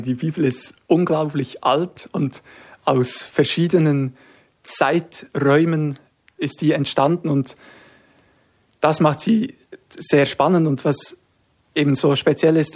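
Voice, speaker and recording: A man's voice: on the high side, a little mellow, husky. A young man from Switzerland, a student guest answering thoughtfully in a radio interview, with some fillers. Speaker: guest, discipleship school student.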